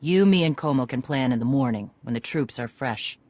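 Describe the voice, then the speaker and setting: An actor playing a tough, no-nonsense warrior clan leader in a video game, giving blunt orders. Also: male voice, mid-range voice, expressive, fairly quick, darkish tone, no echo, close to an everyday voice. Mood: blunt, measured. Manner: blunt, commanding.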